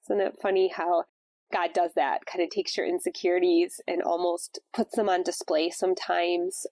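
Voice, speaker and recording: A woman's voice: on the low side, flat delivery, normal speed, a little mellow, no room sound. A woman hosting a podcast, congested and stuffed up from a cold, talking in a casual, reflective way about faith. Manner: conversational, reflective. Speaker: podcast host. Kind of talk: casual devotional talk.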